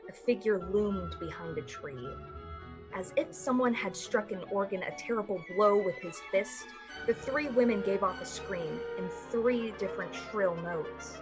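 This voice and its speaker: A woman's voice: mid-range voice, a little slow, lightly acted. A woman narrating a suspenseful story in the manner of a 1940s radio play. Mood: measured suspense. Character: literary storyteller of a suspenseful summer-night tale. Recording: slightly muffled, music underneath. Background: music.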